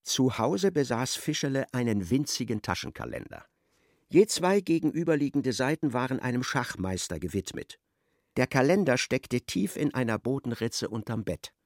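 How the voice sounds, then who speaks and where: A man narrating a radio play as literary storyteller, in a measured and wry manner. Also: medium pitch, sing-song, gravelly, no room sound, clean studio sound.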